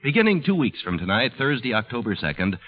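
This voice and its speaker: A man's voice: mid-range voice, sing-song, fast. A man reading scripted announcements as a 1940s radio commercial announcer. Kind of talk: 1940s radio advertising pitch. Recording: dull lo-fi old radio.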